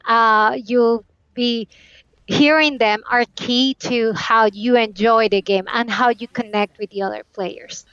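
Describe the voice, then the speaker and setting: A woman's voice: on the high side, fairly bright tone, smooth, dry recording, highs cut off. A woman from Colombia whose first language is Spanish, explaining her work with enthusiasm as a guest. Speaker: localization guest. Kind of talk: casual interview talk.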